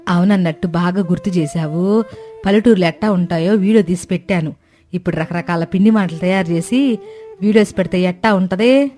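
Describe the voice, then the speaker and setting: A woman's voice: on the low side, a little fast, warm tone, faint music behind, lightly acted. A woman voicing an older, protective, plain-spoken village mother-in-law in a cartoon moral story. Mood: enthusiastic.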